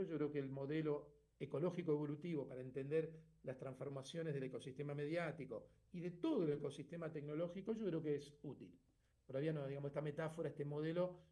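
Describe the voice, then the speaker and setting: A middle-aged man speaks as an author explaining his own work, in a slightly high but warm, mellow voice. He reasons step by step, pausing on fillers and checking in with the listener through frequent tag questions.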